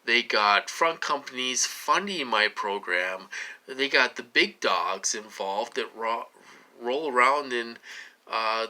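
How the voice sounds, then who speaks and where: A man in his late forties giving a rambling personal monologue, halting and repeating himself as he dwells on his questions. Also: medium pitch, bright, forceful tone. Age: late 40s. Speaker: vlogger.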